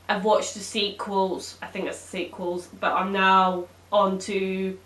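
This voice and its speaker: A woman's voice: mid-range voice, a bit bright. A woman in her twenties chatting to camera at home, rambling with lots of ums and self-corrections. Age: 20s. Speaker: vlogger. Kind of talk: casual chat to camera. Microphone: camera, then webcam. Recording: echoey room.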